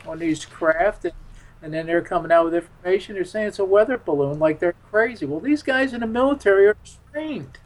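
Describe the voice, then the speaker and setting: A man talking casually as a radio interview guest, halting and repeating his words. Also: sits high, lively intonation, rough recording.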